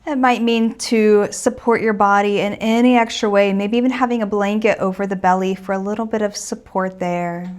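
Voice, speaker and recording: A woman teaching a guided meditation in a gentle, soothing and reassuring manner. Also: medium pitch, fairly even intonation, moderate pace, hardly any pauses.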